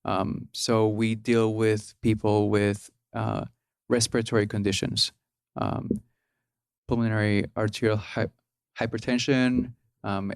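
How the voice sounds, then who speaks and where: A man in his thirties from Peru speaks in a low, gravelly voice as a podcast guest. He talks casually, pausing often with ums and restarting his phrases. The sound is dry and close. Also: no room sound. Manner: hesitant, many ums and restarts. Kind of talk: casual interview.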